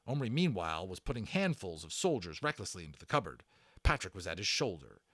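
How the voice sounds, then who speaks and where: A man narrating a storybook as a dramatic, expressive read-aloud. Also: low voice, quick pace, dry recording, studio quality.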